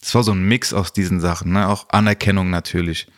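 A man's voice: sits low, steady tone, fast. A man from Germany speaking as an interview guest, reflecting on personal experience in colloquial language and explaining step by step. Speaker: social worker, interview guest.

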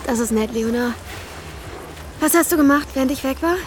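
A woman's voice: high-pitched, fairly quick, close to an everyday voice. A woman playing a restless, blunt teenage girl in an audio drama, with an offhand, teasing way of talking. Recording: clear outdoor ambience noise.

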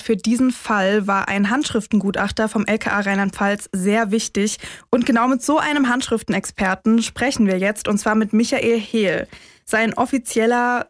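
A woman's voice: a little high, no room sound, studio quality. A woman, hosting and narrating a true-crime podcast from a script. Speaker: podcast host.

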